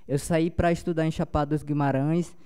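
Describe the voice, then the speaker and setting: Halting young man with a Paumari accent. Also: a little high, little pitch movement, dark tone, clean tone. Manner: halting, many restarts and fillers. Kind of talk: spontaneous panel talk.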